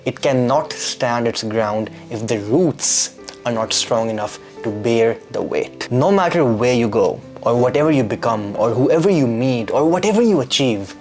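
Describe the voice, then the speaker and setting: Young man, earnest vlogger, middle pitch, faint music bed. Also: lively intonation, normal speed, darkish tone. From Pakistan. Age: 20s. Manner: earnest and reflective, with rhetorical questions. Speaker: YouTube creator. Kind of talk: reflective scripted vlog monologue.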